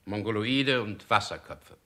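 An actor playing a grave, deferential yet coldly blunt royal physician, courtly and detached, in a 1960s radio play. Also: male voice, lowish voice, animated, a little slow, pushed and bright.

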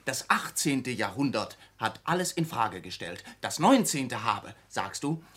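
An actor playing a worldly, cynical journalist who lectures a younger colleague in a mocking, emphatic radio drama manner. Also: male voice, medium pitch, very animated, measured pace, a bit bright, husky, exaggerated voice. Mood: cynical, instructing.

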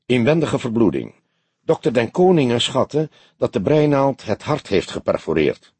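Audiobook role: young junior detective, precise, self-assured, animated intonation, played by a male narrator. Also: mid-range voice, normal speed, husky, no room sound, voice pushed up. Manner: factual, emphatic reporting.

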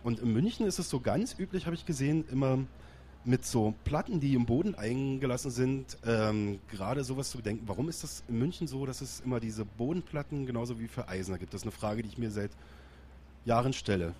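A man's voice: medium pitch, fairly quick, dark tone, slight background noise. A man from Berlin working as a radio reporter, asking probing questions in a casual street interview outdoors. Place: outdoors on a busy city square.

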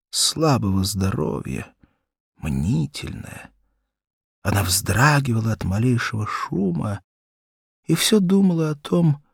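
A man narrating a children's story as a scripted audiobook, with expressive, measured delivery and deliberate pauses. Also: mid-range voice, creaky, husky, no echo, clean studio sound.

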